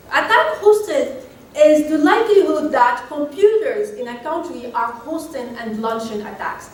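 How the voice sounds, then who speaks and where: A high-pitched woman from Morocco presents research to a conference. She speaks didactically and repeats key points. Her voice comes from some way off the mic in a very echoey room, with faint noise. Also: recorded from a distance.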